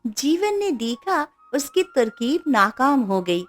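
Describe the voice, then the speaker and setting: A woman narrator telling a children's fable in a high, very lively voice. She takes her time over each scripted sentence, with music playing underneath. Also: slow.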